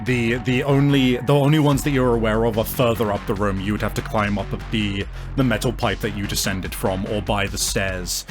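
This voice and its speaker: A man narrating a tabletop roleplaying game as game master, improvising suspenseful description in a halting way. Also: lowish voice, flat delivery. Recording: music behind the voice. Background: music.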